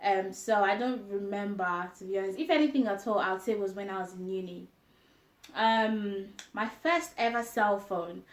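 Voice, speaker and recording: A woman's voice: mid-range voice, normal speed. Chatty Nigerian woman in an echoey room. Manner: chatty, laughs often, frequent ums. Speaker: vlogger. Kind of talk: casual chat answering tag questions.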